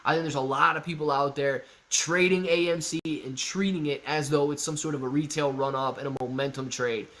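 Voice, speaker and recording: A man in his thirties hosting a live stock market Q&A, reading viewer chat with playful, animated banter. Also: a little high, fairly quick, hardly any pauses. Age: 30s.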